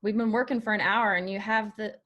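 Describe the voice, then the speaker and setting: A woman reading a wounded, sharp-tongued young country singer with folksy Southern phrasing in a screenplay table read. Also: medium pitch, steady tone, a little fast, highs cut off, natural voice. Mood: angry, hurt.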